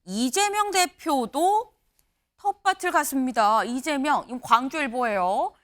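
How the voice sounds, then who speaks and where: A woman's voice: high-pitched, expressive, a little slow, fairly bright tone. A woman news anchor hosting a morning news talk show, chatty and laughing with her guests. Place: TV studio.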